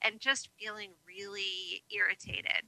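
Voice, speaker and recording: A woman's voice: mid-range voice, level tone, a little slow, gravelly, sharp tone, no room sound, low-quality recording. A woman with an American accent giving friendly, reflective, conversational answers as a radio guest. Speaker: radio guest, life coach.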